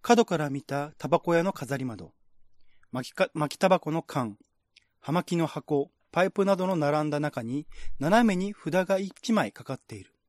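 A man's voice: on the high side, animated, moderate pace, no echo, clean studio sound. A man reading scripted narration in a radio-drama style, as the narrator of a screenplay.

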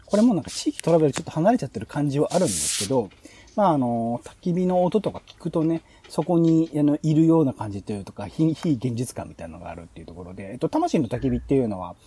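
Guy podcasting, super swoopy intonation, soft mellow slightly husky voice, rambles as he thinks. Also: medium pitch, very animated, quick pace, slight background noise. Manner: thinking aloud in long, loosely linked sentences with frequent hedging. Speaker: podcast host. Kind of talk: casual explanatory monologue pitching show ideas.

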